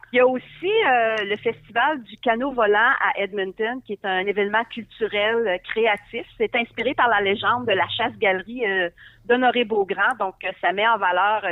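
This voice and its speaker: A woman's voice: mid-range voice, no echo. A woman from northern Ontario with a Franco-Ontarian French accent, a tourism advisor answering interview questions fluently, with frequent hesitations. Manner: fluent, many filler hesitations, informative. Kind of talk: interview over the phone. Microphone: phone or call line. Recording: dry tinny phone line.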